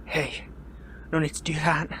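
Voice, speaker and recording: Man voicing captive young hero, audio drama, higher-pitched male voice, wry, defiant, halting, weary and hurt, noisy background. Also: monotone, bright, forceful tone. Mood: strained, wry. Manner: halting, sardonic, stammering. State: pained, weary. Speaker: voice actor in audio roleplay. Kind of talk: dramatic audio roleplay, one-sided dialogue.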